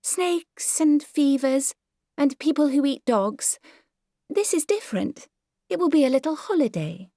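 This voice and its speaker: A woman voicing a fussy, high-pitched genteel English mother. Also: lively intonation, a little slow, warm tone, no room sound, clean studio sound. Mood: vague, airy. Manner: airy, fussy, vague. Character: chattering self-satisfied genteel Victorian mother. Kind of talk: dramatised audiobook character dialogue.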